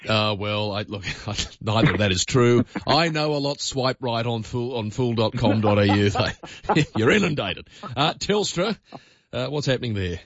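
A man's voice: medium pitch, expressive, moderate pace, muffled recording. A man hosting a radio show, chatting in an easygoing, jokey way. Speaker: radio host. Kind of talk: casual radio chat.

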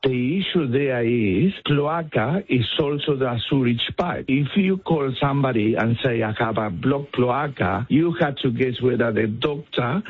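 A man's voice: medium pitch, measured pace, few pauses, slightly rough. Argentine-accented man on a phone line. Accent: Argentine Spanish. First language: Spanish. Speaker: caller.

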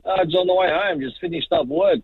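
A man, a truck driver calling in, talking haltingly with lots of fillers and jokes. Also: high-pitched, sing-song, creaky, husky, dry recording. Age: 40s. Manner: halting, joking, full of fillers. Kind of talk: phone-in call. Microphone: phone. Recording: tinny phone line.

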